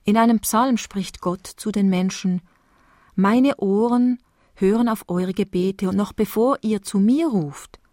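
A woman from Basel with a Swiss German accent, calmly reading a meditative sermon. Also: mid-range voice, dry recording, studio quality. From Switzerland, Basel. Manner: calm, measured, reflective reading. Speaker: preacher giving a spoken devotion.

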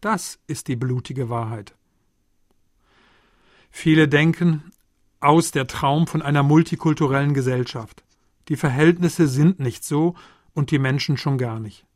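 Earnest preacher, dry close sound. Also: male voice, on the high side, no echo. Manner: measured, earnest preaching with rhetorical questions. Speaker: preacher, theology lecturer. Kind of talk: Pentecost sermon.

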